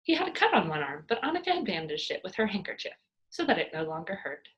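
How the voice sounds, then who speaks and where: A woman reading a children's book aloud as a storyteller, with expressive narration for young pupils. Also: lowish voice, fast talker.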